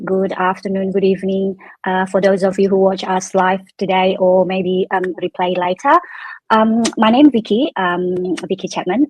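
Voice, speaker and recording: Woman from Indonesia, guest, flat low delivery, dry sound, lots of ums. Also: no room sound, low-quality recording. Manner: hesitant, frequent ums. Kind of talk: casual self-introduction.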